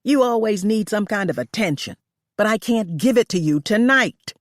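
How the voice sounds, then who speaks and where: A woman reading aloud, voicing a cruel wealthy female employer who yells and screeches at her maid. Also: animated, normal speed, no room sound, clean studio sound, close to an everyday voice. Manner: shrill, shouting.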